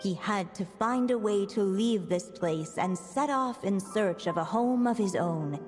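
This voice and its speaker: A woman voicing a solemn storybook narrator in a video game, telling a fairy tale in a measured way. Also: mid-range voice, expressive, over music, close to an everyday voice. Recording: long reverb.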